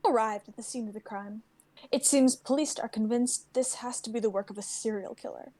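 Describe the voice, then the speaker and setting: A woman's voice: fairly high pitch, brisk, warm tone, no room sound, close to an everyday voice. A young woman playing a serious television news reporter in a dramatic script reading. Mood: urgent, formal. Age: young adult. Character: serious female TV news reporter at crime scene.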